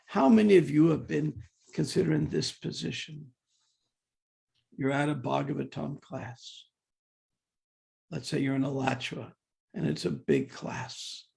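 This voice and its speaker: An older man with a heavily gravelly, creaky voice and a fairly high pitch gives a devotional class. He talks conversationally, jokes with the listeners and stacks up repeated "and, and" restarts as he tells stories. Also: a little slow, pauses often, a little mellow. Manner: conversational, humorous, questions the audience. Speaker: class speaker.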